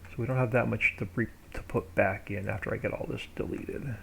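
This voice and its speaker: Gravelly-voiced male streamer, relaxed and rambling, talking himself through his work at a home desk mic. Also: lowish voice, brisk, a little hiss or hum. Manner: thinks aloud while working, relaxed and rambling. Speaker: live streamer building a game map. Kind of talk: casual live-stream commentary.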